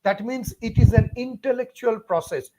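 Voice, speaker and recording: High-voiced Indian man lecturing emphatically. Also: moderate pace, noisy background. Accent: Indian English. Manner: emphatic, repetitive, talks straight to viewers by name. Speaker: physics teacher hosting a live class. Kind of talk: live-streamed lecture.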